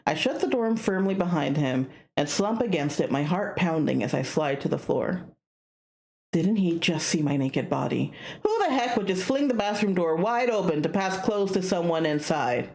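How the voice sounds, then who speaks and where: Animated lower-pitched woman streamer. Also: moderate pace. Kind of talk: visual novel playthrough, reading aloud with casual asides.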